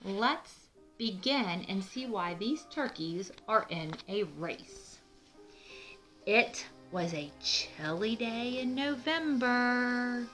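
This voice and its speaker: Woman, children's read-aloud host, unhurried pace, bright tone, simple explanations, faint music. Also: on the high side, lively intonation. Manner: slow, deliberate, explains things simply.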